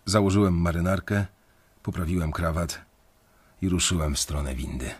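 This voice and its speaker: An actor playing an anxious young banker who narrates his own story in a confiding, measured, dramatic way in an audio drama. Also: deep voice, noisy background, natural voice. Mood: reflective, uneasy. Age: young adult.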